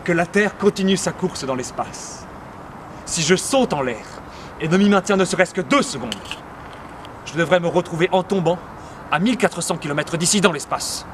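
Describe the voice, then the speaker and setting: A man acting a slightly mad man in a theatre monologue, reasoning earnestly and deliberately, like a pedant reciting calculations. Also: high voice, voice pushed up, lightly acted. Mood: resolute, fervent. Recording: echoey room, distant mic, faint noise.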